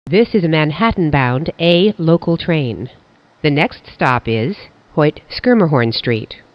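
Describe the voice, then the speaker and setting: A train announcer reading scripted station announcements in a formal, measured, pieced-together way. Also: mid-range voice, animated, muffled recording.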